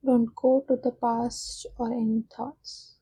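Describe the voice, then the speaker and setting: Soft, breathy, very slow woman guiding meditation. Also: fairly high pitch, level tone, no echo, poor audio. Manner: soft, soothing, unhurried instruction with long pauses. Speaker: meditation guide. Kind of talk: guided meditation.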